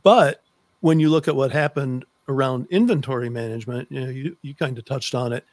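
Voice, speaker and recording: A man's voice: mid-range voice, brisk, a little mellow, no echo. A middle-aged man hosting a conversational interview, speaking close to a desk microphone on a video call. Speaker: host. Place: book-lined room indoors, on a video call.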